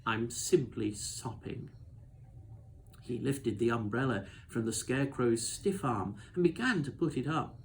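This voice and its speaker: A man reading a children's novel aloud as expressive storybook narration. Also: medium pitch, moderate pace, vocal fry, slight background noise, poor audio.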